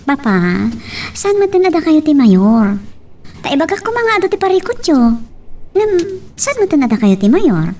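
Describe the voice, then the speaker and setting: A woman playing a warm young policewoman and loyal daughter in a radio drama, with an Ilocano Filipino accent. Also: high-pitched, sing-song, normal speed, recorded from a distance. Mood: concerned, earnest.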